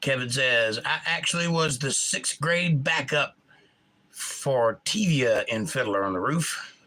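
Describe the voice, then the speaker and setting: A middle-aged man with a Georgia accent, hosting a casual live chat and reading out viewer comments, enunciating crisply in an animated, opinionated way. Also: medium pitch, takes it slowly. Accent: Georgia, US South. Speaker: livestream host.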